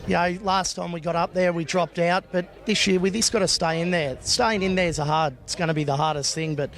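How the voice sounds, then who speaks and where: A man's voice: high-pitched, fairly even intonation, a little fast, fairly bright tone. An Australian man, a bowls skipper, talking casually and upbeat in an outdoor interview. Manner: upbeat, casual, says "look" and "mate". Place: outdoor bowling green.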